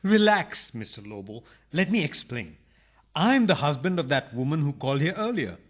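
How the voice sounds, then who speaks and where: A man's voice: on the high side, very animated, normal speed. A man acting a meek, whining, apologetic husband caught hiding, in a farcical radio play. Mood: sheepish, placating. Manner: whiny, apologetic.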